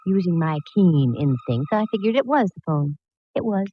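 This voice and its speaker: A woman's voice: lowish voice, big pitch swings, a little hiss or hum. Breezy wisecracking female private eye. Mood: wry narrating. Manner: glib, sardonic, quick with quips. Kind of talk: 1940s radio drama acting and narration. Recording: dull lo-fi vintage radio recording.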